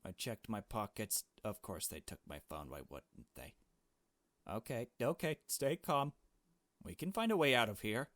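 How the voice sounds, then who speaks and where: A man voicing a frightened, earnest kidnapped young adventurer in a visual novel, speaking in a halting, pleading way. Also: fairly low pitch, sing-song, a bit bright, no room sound. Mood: startled, anxious. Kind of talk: dramatic visual novel read-aloud.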